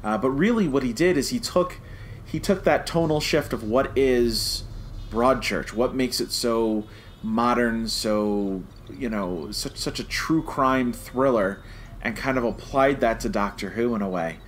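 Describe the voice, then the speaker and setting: A man's voice: medium pitch, unhurried. Enthusiastic man chatting, background noise. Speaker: podcast co-host. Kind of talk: casual podcast review chat.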